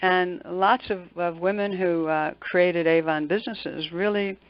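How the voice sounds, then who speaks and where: A woman's voice: lowish voice, fairly even intonation, a little slow, dry recording. Older woman, emphatic speaker, dull dry recording. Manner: emphatic, repeats words for emphasis. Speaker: motivational speaker and executive coach, interview guest. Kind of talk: conversational interview answers with anecdotes.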